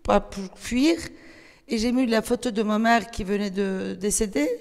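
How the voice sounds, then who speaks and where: A woman with a Turkish accent, a native Turkish speaker, speaking at a festival panel, hesitant, with many fillers and restarts, animated when she tells a story. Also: medium pitch, normal speed. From Turkey. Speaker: panel guest, writer. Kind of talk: panel discussion. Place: festival hall. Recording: long hall reverb.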